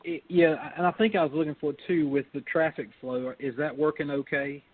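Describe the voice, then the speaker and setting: A man's voice: on the high side, steady tone, a little fast. A man speaking as a council member, putting questions in a budget meeting. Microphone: conference call. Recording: thin, tinny phone line.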